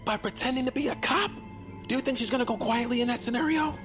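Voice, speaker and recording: An actor playing an exasperated, bumbling young amateur bounty hunter in a comedic audio drama, incredulous and sometimes stammering. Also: male voice, very high voice, quick pace, music in the background. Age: young adult.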